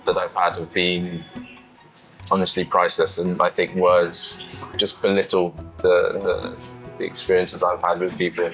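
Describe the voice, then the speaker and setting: Male, British, low register with little pitch movement; reflective interviewee who pauses thoughtfully. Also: monotone, a bit bright, faint music behind, low-quality recording. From United Kingdom, London. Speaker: interview guest, touring cyclist.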